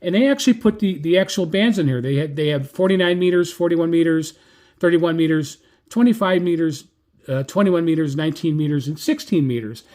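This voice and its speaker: An American man giving a casual, unscripted product review as a gadget reviewer, with a halting, self-correcting delivery. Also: on the high side, a little mellow. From United States. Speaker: radio reviewer.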